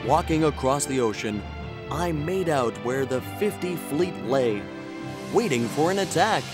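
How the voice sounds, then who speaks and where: An actor playing an earnest, polite traveler who tells his adventure in an expressive children's story style. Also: a little high, measured pace. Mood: earnest storytelling. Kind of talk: scripted children's story narration in character. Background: music.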